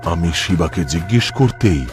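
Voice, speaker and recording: A man's voice: deep voice, expressive, normal speed. A man narrating a scripted first-person horror story for a cartoon. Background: music.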